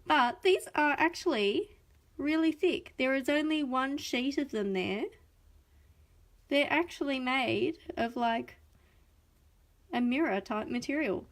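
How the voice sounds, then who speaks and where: Bubbly Australian woman host, fairly high voice, gushing, slightly sniffly with a cold. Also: takes it slowly, long pauses. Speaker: craft video host. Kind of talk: chatty live unboxing.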